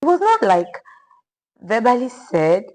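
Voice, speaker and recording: A young woman from Nigeria, a polite podcast guest who says ma'am and has a naturally loud voice. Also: fairly high pitch, huge pitch swings, measured pace, poor audio. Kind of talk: podcast interview.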